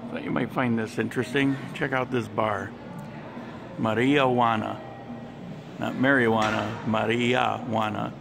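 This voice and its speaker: A man talking through a casual walking travel vlog in a shopping mall, relaxed and chatty with plenty of fillers. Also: mid-range voice, unhurried, creaky. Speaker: travel vlogger. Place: outdoor mall terrace and shopping center. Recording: handheld camera with street and mall noise.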